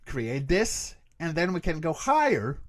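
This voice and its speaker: A man speaking conversationally as an interview guest, explaining vocal technique in an animated teaching way and checking in with the listener. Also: a little high, unhurried, heavy background noise. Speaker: creature voice actor, interview guest.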